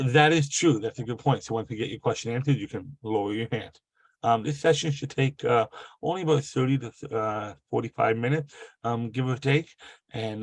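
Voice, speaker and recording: A man with a cold and a gravelly voice hosting a live online workshop over a video call, halting and full of fillers and restarts. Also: on the low side, darkish tone, no room sound, poor audio. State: has a cold. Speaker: webinar host.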